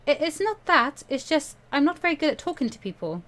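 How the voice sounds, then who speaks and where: A woman's voice: high-pitched, very animated, brisk, a bit bright, pitched above the performer's own voice. A woman voicing a squeamish, stubborn teenage student girl in a visual novel, dramatic and protesting. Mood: nervous, reluctant.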